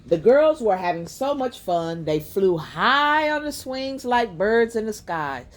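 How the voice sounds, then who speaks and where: Slow-reading woman telling a children's story. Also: on the high side, big pitch swings. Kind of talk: read-aloud bedtime story narration.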